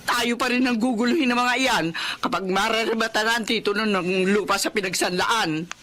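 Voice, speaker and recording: A woman's voice: a little high, fairly even intonation, brisk, fairly bright tone, a little hiss or hum, lightly acted. A woman playing a long-suffering wife, mother and schoolteacher in a radio drama, indignant and also narrating her own story. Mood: indignant, scolding. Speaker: narrator and lead actress. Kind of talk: radio drama acting with first-person narration.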